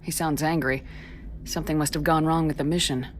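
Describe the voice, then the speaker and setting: An actress playing a composed, probing female military commander in a video game, measured and direct. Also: sits low, steady tone, a little hiss or hum, lightly acted. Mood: wry concern.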